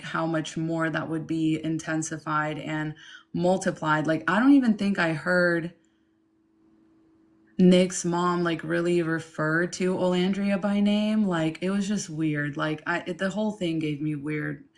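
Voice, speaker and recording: A young woman from Ukraine, a guest on a casual podcast chat, speaking candidly and in an animated way. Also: deep voice, a little slow. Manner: candid and animated, mocks others in quoted asides. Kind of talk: casual conversation.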